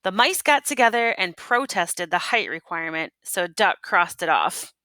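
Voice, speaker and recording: A woman reading a children's picture book aloud in an expressive, teacherly way, pausing to prompt listeners with questions. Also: fairly low pitch, dry recording. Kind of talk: expressive read-aloud storytelling with asides and questions.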